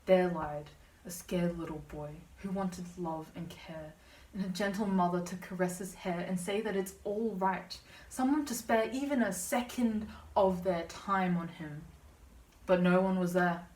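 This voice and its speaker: A woman's voice: fairly low pitch, measured pace, darkish tone. A young woman student performing slam poetry with a dramatic, emphatic, rhythmic delivery. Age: young adult. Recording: distant, echoey room pickup.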